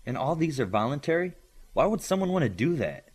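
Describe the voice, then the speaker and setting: A man's voice: a little high, sing-song, fairly quick, a little mellow, husky, voice pushed up. A man voicing a young teenage boy in an audiobook, curious, polite and a little naive, earnest and questioning.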